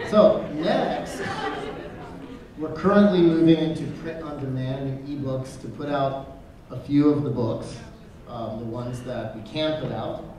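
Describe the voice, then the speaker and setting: A young man hosting a convention panel at a table mic, brisk and energetic as he hands off to other speakers. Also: mid-range voice. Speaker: panel host. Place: large convention hall. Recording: echoey hall, taped from far off.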